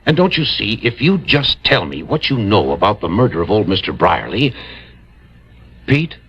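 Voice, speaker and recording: Husky, exasperated official. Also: male voice, on the low side, big pitch swings, moderate pace, a bit bright, exaggerated voice. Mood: pleading. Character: pleading, exasperated male official questioning a boy. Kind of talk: old-time radio drama acting. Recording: dull vintage radio recording.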